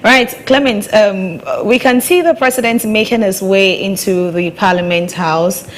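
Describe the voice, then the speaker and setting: A woman's voice: medium pitch, measured pace, a little hiss or hum. A woman co-hosting a live broadcast, talking in a loose conversational way with many fillers. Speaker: radio talk show host.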